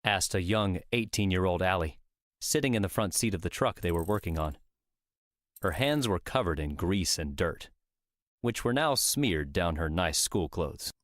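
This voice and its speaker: A man's voice: sits low, animated, moderate pace, no room sound. A man reading scripted story narration for an audiobook.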